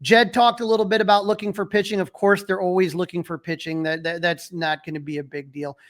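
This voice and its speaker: A man's voice: sits high, steady tone, fairly quick. A man co-hosting a sports podcast, talking in a casual, conversational style. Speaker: podcast co-host.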